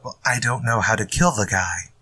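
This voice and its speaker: An actor playing an exasperated, fretful mystery writer in a retro radio drama. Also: male voice, lowish voice, normal speed, bright, forceful tone, husky, dry recording, low-quality recording. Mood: frustrated. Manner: fretful, muttering to himself when stuck. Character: exasperated male mystery writer stuck on his story.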